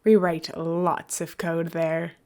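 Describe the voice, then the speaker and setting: A woman's voice: on the low side, little pitch movement, slow pace, darkish tone. A woman voicing an exasperated young male computer science student in a story, dry and teasing. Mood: wry, teasing.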